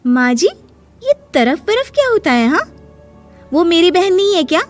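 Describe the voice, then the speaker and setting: A high, pointed voice for a defiant young daughter-in-law , acted by a woman. She argues back sharply in animated moral-story style, over faint music. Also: moderate pace. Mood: indignant. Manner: argumentative, pointed.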